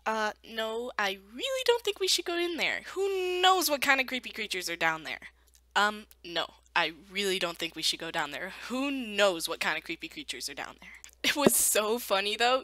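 A woman voicing an excitable, nervous young adventurer for an animation audition, on a cheap home microphone. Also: on the high side, no echo, pitched above the performer's own voice, full character voice. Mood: amused. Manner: expressive, acted.

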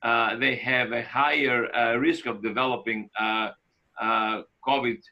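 A man in his fifties, a doctor, speaking haltingly with many fillers in an interview over a video call. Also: mid-range voice, steady tone, pushed and bright. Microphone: laptop video call. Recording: thin, dull video call audio.

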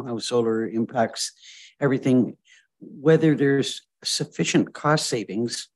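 Deliberate Canadian man chairing a council. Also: medium pitch, a little mellow, no echo. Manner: deliberate, repeats words while thinking. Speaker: mayor chairing the meeting. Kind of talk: council meeting discussion. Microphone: video call.

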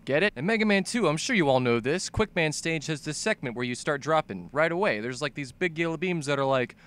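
A man's voice: a little high, animated, fairly quick, a bit bright, clean tone. A young man narrating a comedic scripted video essay about games in an exaggerated, sweary, ranting manner. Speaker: video game commentator.

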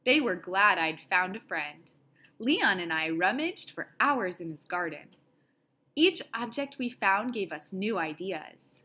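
Lady reading a kids book out loud, slow and expressive, really animated, bit breathy. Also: medium pitch. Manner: deliberate, dramatic phrasing. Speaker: storybook reader. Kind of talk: expressive children's read-aloud.

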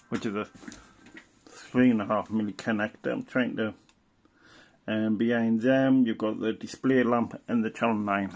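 A man with a creaky, gravelly voice presents a piece of gear in an informal, hands-on way. His delivery is unscripted and a little hesitant, at a middling pitch. There is faint noise on the amateur recording. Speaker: presenter showing vintage radio gear. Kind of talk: informal hands-on product demonstration. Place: indoors at a worktop.

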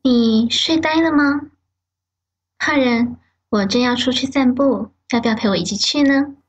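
Woman as a husky, high-voiced ghost girl. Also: measured pace. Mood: casual, teasing. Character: teasing, casual ghost of a teenage girl. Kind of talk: Mandarin audio drama acting.

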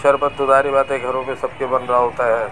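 A man slowly narrating a market street tour from a bike, with traffic and crowd noise behind him. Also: medium pitch, flat delivery. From Pakistan. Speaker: vlogger. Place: busy market street outdoors. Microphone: camera on a moving bike. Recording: noisy outdoor street recording.

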